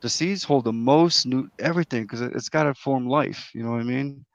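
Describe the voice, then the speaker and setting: A man's voice: mid-range voice, a little fast, gravelly, highs cut off, a crowd in the background. A man chatting on an online panel, rambling and restarting his sentences. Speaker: panel guest. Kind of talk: casual online panel chat.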